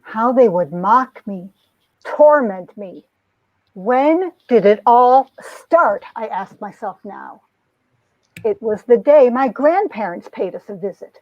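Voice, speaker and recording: A woman's voice: a little high, lively intonation, takes it slowly, darkish tone, no echo, muffled recording. A woman playing a lovestruck young society heroine who narrates her romance with earnest melodrama, in an amateur comic reading. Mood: anguished. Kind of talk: dramatic reading of a 1950s romance comic, first-person narration.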